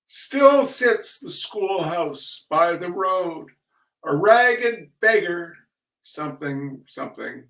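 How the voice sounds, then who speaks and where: A man reading humorous essays aloud in a deliberate, theatrical way. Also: a little high, a bit bright. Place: echoey room, recorded at a distance from the mic. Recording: echoey room, distant microphone.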